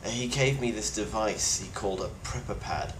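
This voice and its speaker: A man playing a grim, weary plague survivor, speaking gravely and bluntly in a halting video-diary monologue. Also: on the low side, lightly acted. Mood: earnest, advising. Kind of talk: in-character monologue, found-footage video diary. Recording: roomy echo with clear background noise.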